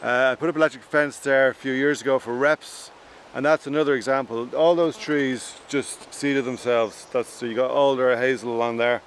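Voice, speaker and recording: A man in his forties with an Irish accent, a farmer talking to camera outdoors in a relaxed, plain-spoken way with frequent pauses. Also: mid-range voice, slight background noise. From Ireland. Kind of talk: documentary talk to camera. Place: outdoors on a farm.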